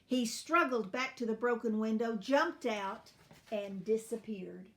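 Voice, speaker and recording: A woman storyteller reading expressively for children in a deliberate, teacherly manner. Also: fairly high pitch, fairly bright tone.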